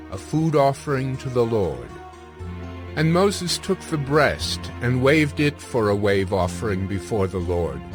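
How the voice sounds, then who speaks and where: Man, scripted scripture narration, medium pitch, measured delivery, solemn, faint music behind.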